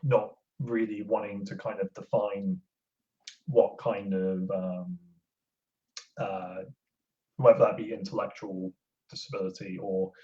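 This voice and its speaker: Guy chatting on a podcast, kinda halting, muffled lo-fi sound. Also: on the low side, level tone, mellow. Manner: hesitant, halting. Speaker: podcast co-host. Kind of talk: casual podcast chat. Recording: dull lo-fi audio.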